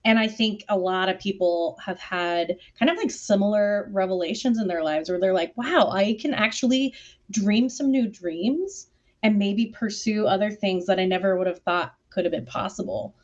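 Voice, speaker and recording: A woman talks casually and eagerly as a panelist on a video call. Her voice sits at a medium pitch, and her phrasing is informal and chatty. The sound is dull and lo-fi. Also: normal speed. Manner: enthusiastic, informal, peppered with "like". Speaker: theater artist on a panel. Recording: dull, lo-fi online call audio.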